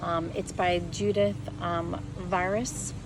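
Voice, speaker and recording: A woman reading a children's picture book aloud in an expressive, animated way, stressing and drawing out key words. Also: on the low side, background noise. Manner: animated, storytelling with emphatic stresses and drawn-out words. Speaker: read-aloud presenter.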